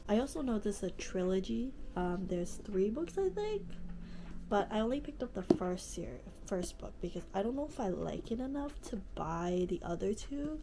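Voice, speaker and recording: A woman's voice: fairly low pitch, gravelly, mellow. A young woman in her late teens with a stuffy nose from allergies, chatting casually in her bedroom about a book she is reading, full of "like" and "um". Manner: chatty, lots of "like" and "um", easygoing. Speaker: vlogger. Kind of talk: casual reading vlog chat. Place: bedroom, indoors. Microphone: camera at close range.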